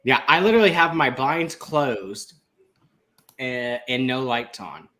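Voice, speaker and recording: A man's voice: medium pitch, expressive, unhurried, fairly bright tone. A man on a movie panel chatting casually, wry and mildly complaining. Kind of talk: casual chat.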